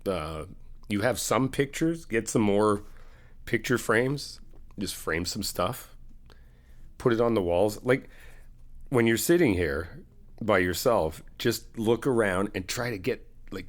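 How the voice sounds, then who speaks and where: A man playing an easygoing, helpful friend in an ASMR roleplay, talking in a casual, reassuring, conversational way. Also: lowish voice. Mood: encouraging, warm. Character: easygoing, helpful male friend helping someone move in.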